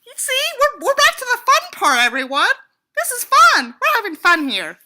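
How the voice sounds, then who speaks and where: Bright-voiced woman, sarcastic, reading and griping. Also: very high-pitched, wildly swooping pitch, moderate pace. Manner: exasperated, sarcastic, sweary asides. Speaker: audiobook reader and commentator. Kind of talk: sardonic commentary while reading a novel aloud.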